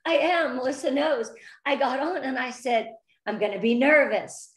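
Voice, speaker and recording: A woman in her fifties talks with effusive, earnest warmth and a restless nervous energy, her voice sitting a little high. She is heard over a video call, and the room around her gives it a noticeable echo. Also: normal speed. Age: 50s. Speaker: interview guest. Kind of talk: emotional heartfelt interview.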